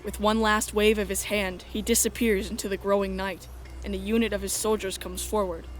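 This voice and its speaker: A woman's voice: mid-range voice, level tone, fairly quick, poor audio. A woman reading scripted first-person story narration as the narrator of a dramatic fan dub. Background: music.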